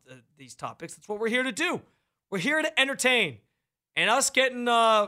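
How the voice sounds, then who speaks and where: Loud, bright-voiced sports radio man. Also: high voice. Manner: loud, projecting delivery; fired-up, opinionated. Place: radio studio. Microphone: studio microphone, close.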